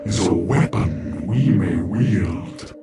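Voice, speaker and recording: A man playing a cold, calculating lord of an ancient race in a fantasy game, speaking formally with a gravelly voice. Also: deep voice, takes it slowly. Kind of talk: fantasy video game cutscene acting. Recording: loud music under the voice.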